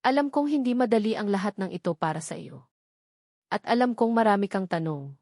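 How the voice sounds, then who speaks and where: A woman with a Filipino accent voicing a gentle, remorseful elderly mother in a romance story. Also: mid-range voice, animated, fairly quick, no echo, clean studio sound. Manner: gentle, apologetic.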